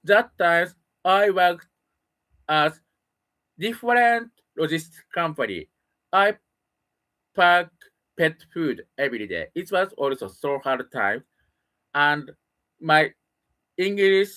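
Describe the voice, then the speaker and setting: Middle-aged man, Japanese accent, native Japanese speaker, halting careful English, slow, lively pitch. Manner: halting non-native English with pauses and restarts. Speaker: solo broadcaster practicing English. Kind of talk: casual spoken monologue.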